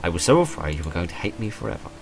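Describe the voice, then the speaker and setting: Man reading a sheepish young woman, low-voiced. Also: very animated, very fast, vocal fry, music in the background, heavily acted. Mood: relieved. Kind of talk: visual novel character reading.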